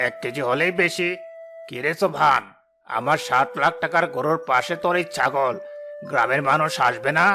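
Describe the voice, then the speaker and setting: A man's voice: a little high, moderate pace, noisy background, close to an everyday voice. A man playing an arrogant rich village boss in a cartoon, talking in a mocking, bossy way. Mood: mocking, scornful. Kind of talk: cartoon dialogue. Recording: clear music bed behind the voice.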